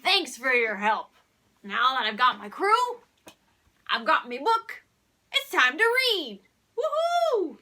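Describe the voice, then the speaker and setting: A woman's voice: high-pitched, sing-song, takes it slowly, long pauses, bright, forceful tone, heavily acted. A woman playing a jolly, scatterbrained pirate captain for a children's show, with exaggerated, theatrical pirate talk. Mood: excited.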